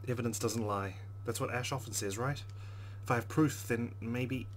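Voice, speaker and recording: A man narrating a visual novel aloud in a dramatic, expressive read-aloud style, with muttered asides. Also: medium pitch, moderate pace, slight background noise. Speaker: let's play narrator.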